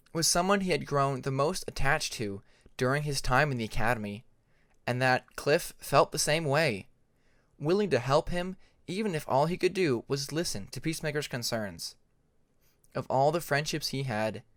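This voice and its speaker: A man reading fiction aloud as a scripted audiobook narration in a measured storytelling delivery. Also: mid-range voice, fairly bright tone, no echo, studio quality. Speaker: amateur fan fiction narrator.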